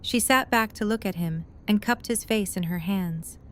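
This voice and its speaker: A woman's voice: mid-range voice, normal speed, a little hiss or hum. A woman reading scripted story narration as the narrator of a drama.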